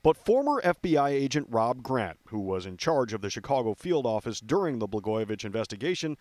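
A man's voice: mid-range voice, sing-song, a little fast, a little mellow, no echo. American man reporting the TV news.

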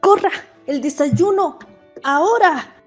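High, swooping nosy young girl played by a woman. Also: animated, takes it slowly, soft background music, exaggerated voice. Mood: impatient. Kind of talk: amateur Spanish comic fandub.

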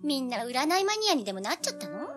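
An actress playing a pert, feisty teenage girl in an audio drama, with girlish, animated delivery. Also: high voice, fast talker, over music. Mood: curious.

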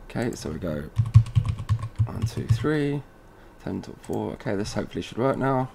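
A man presenting an informal software tutorial, casually thinking aloud as he goes step by step. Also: fairly low pitch, very animated, moderate pace, gravelly. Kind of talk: informal screen-recorded software walkthrough. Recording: noisy room with keyboard typing.

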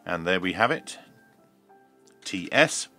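A middle-aged man giving a measured, explanatory hands-on demonstration, like a reviewer talking through each step. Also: low voice, expressive, bright, forceful tone, soft background music. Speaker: radio reviewer.

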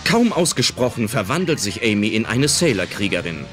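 A man's voice: fairly low pitch, sing-song, a little fast. A man narrating a children's audio drama in a scripted, dramatic storytelling style. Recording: loud music under the voice.

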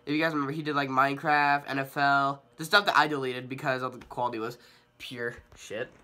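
A man's voice: mid-range voice, steady tone, brisk, bright, forceful tone. A young man giving a rambling, hesitant vlog update while sick with a cold, his voice slightly nasal. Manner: rambling, hesitant, many ums and restarts. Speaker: vlogger.

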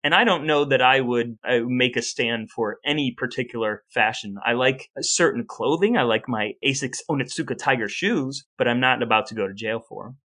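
American male podcast co-host, forceful bright tone, casual storytelling with wry, dramatic touches. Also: mid-range voice, fairly quick, few pauses.

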